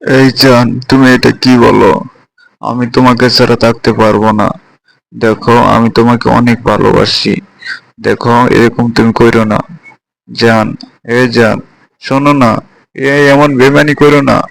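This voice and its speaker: A man with a gravelly voice doing melodramatic amateur cartoon voice acting and storytelling. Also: medium pitch, fairly even intonation, moderate pace, long pauses, low-quality recording.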